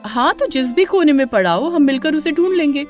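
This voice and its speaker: A woman's voice: high-pitched, expressive, brisk, faint music behind. A woman playing a caring, determined young daughter-in-law in a cartoon family story, coaxing and insistent.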